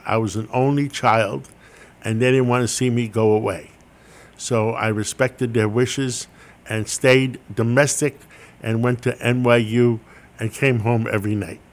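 A man's voice: medium pitch, fairly even intonation, measured pace. An older man, a Greek speaker from childhood, telling wry, self-deprecating stories as an interview guest. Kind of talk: interview storytelling.

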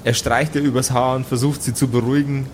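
A man narrating an improvised tabletop audio play as game master, telling the scene in deliberate, descriptive phrases. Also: medium pitch, flat delivery, soft background music.

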